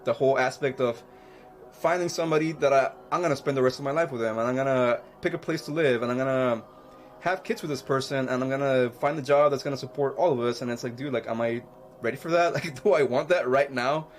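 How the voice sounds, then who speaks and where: A man co-hosting a podcast in casual chat, halting and repeating himself as he searches for words. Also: mid-range voice, soft background music. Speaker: podcast co-host.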